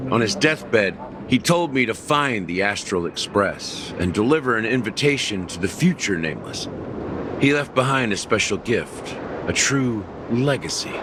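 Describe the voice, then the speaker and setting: An actor playing a weary middle-aged man who speaks calmly and reflectively of a late friend. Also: fairly low pitch, noisy background. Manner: calm, reflective, measured. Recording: game cutscene with loud music.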